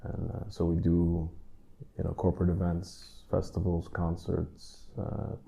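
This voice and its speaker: A man in his thirties from the Netherlands, a drummer answering interview questions in a relaxed, rambling way with many asides. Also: deep voice, unhurried, vocal fry. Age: 30s.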